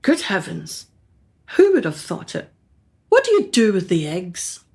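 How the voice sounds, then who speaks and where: An actress performs a haughty woman painter in a radio play. She speaks slowly and archly, with theatrical, very lively swings of pitch. Every phrase carries cool condescension and self-regard. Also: creaky, heavily acted. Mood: cool curiosity.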